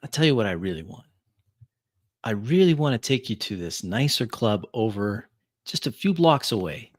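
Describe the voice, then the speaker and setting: Man playing a smooth-talking biker, close and dry. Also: on the low side, dry recording. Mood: eager persuasion. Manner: halting, flattering, persuasive. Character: smooth, coaxing male biker courting a singer.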